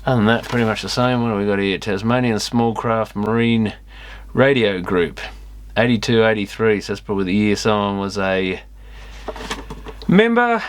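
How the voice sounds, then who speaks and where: Male, lowish voice, casual hands-on vlogger muttering as he tinkers; noisy camera-mic audio with clicks. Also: expressive, moderate pace. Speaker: electronics repair vlogger. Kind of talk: casual off-the-cuff commentary while inspecting gear. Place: electronics repair workshop.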